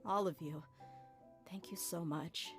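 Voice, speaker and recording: A low-voiced reflective desert warrior. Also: female voice, a little slow, music in the background. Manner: calm, reflective, heartfelt. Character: tough young desert warrior woman, warm and steady.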